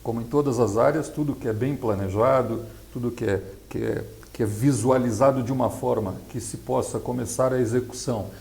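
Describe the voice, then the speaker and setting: A Brazilian man giving explanatory interview answers as a specialist, in a measured, didactic way. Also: medium pitch, creaky, a little mellow. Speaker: accountant and project specialist being interviewed. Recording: echoey, roomy sound.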